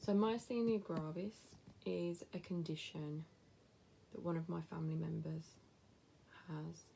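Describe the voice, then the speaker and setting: A woman's voice: sits low, fairly even intonation, unhurried, creaky, dark tone, slight background noise, poor audio. A woman in her thirties with a West Midlands accent, chatting casually to camera as she answers viewer questions, blunt and with whispered asides. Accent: West Midlands English. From England.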